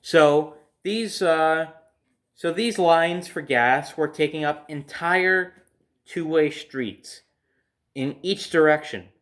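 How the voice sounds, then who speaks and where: A man's voice: fairly high pitch, slow, a bit bright. An American man telling a personal story casually, in a rambling way, circling back over details.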